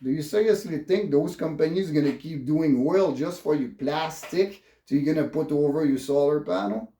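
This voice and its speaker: A man's voice: on the high side, warm tone. Agitated French Canadian man, echoey room. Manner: agitated, profane, halting English with repetitions. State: upset. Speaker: vlogger. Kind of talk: unscripted political rant.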